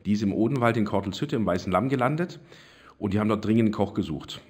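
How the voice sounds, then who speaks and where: A man from southwest Germany, a cooking teacher, telling his life story in a relaxed, rambling way. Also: lowish voice.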